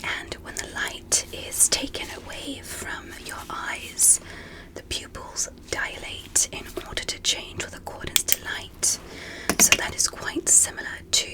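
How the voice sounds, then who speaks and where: Slow whispering ASMR woman. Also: rough recording. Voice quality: soft, breathy whisper. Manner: gentle, slow, deliberate, with short measured phrases. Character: gentle, fascinated alien doctor examining a human. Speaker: ASMR performer. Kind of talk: ASMR medical roleplay as a curious alien examiner.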